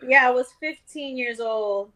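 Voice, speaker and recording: A woman's voice: high voice, unhurried, a loud crowd. A middle-aged woman guest from New York on a casual podcast, telling her story candidly and swearing freely. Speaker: guest author.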